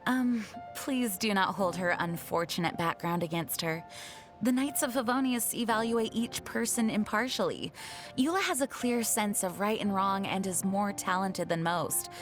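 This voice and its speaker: A woman voicing an earnest, dutiful young knight commander in a video game, speaking in a formal, measured way. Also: medium pitch, soft background music, natural voice. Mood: earnest resolve. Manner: formal, measured, solemn diction. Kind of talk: video game character voice lines.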